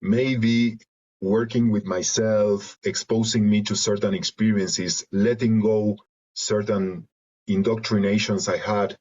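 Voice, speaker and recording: A man from Argentina with a Spanish accent, reflecting in an interview in halting, searching phrases over a video call. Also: lowish voice, flat delivery, darkish tone. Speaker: interview guest, creative and therapeutic facilitator. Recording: dull lo-fi video call audio.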